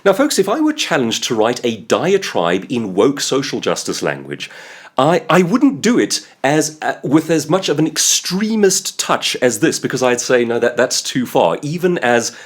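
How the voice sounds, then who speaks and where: A man with a South African accent delivers a sarcastic commentary monologue as the host. His husky voice leaps up and down in pitch all the time, hammering his points home with heavy emphasis. He sounds like a man in his thirties. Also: huge pitch swings, moderate pace.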